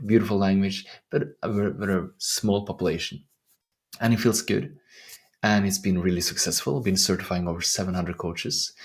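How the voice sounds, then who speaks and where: A middle-aged man with a Norwegian accent talks in a deep, gravelly, husky voice. He is soft-spoken and earnest, circling back over his own phrases in an unhurried way. It sounds like a reflective coaching vlog. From Norway. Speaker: life coach. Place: outdoors in the wilderness.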